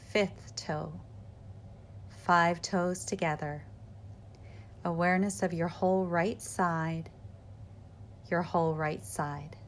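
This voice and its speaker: Female meditation guide, calm and measured, lower-pitched, extremely slow short cues with pauses. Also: a little hiss or hum. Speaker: yoga nidra meditation guide.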